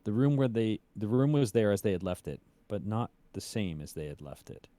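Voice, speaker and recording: A man reading aloud in a measured, suspenseful storytelling style. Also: lowish voice, sing-song, dark tone, no room sound. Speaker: cast member reading a story aloud on a stream. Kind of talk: dramatic story reading, then casual chat.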